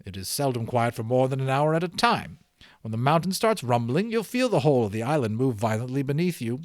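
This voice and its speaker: A man voicing a courteous, calm gentleman guide in an audiobook, explaining things in a measured, theatrical way. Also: medium pitch, dry recording, studio quality, natural voice. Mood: calm, explanatory. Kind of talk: audiobook character dialogue.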